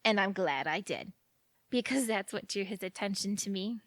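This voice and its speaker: A woman's voice: medium pitch, animated, moderate pace, fairly bright tone, dry recording. An actress playing a romantic, earnest young small-town woman in a naturalistic audio drama, chatty and a little defiant. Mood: dreamy, proud.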